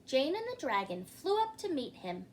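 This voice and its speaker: A woman's voice: high voice, sing-song, normal speed, darkish tone, slight background noise. A woman hosting storytime, reading a children's book aloud theatrically and speaking directly to young listeners.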